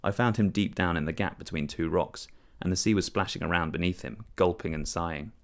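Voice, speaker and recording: A man's voice: low voice, fairly quick, creaky. A man reading a first-person literary short story as scripted narration.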